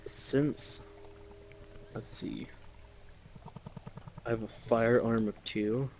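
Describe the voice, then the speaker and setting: A man's voice: medium pitch, fairly even intonation, drawn-out words, a little mellow, slight background noise, low-quality recording. A man playing a tabletop role-playing game, chatting casually and tentatively, muttering and swearing. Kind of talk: casual gaming chat.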